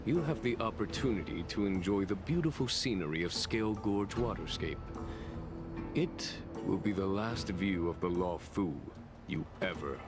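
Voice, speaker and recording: A man's voice: low-pitched, lively intonation, moderate pace. An actor playing a stern official who formally informs a prisoner of his fate, cold and faintly menacing. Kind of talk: game voice acting. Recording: game music behind.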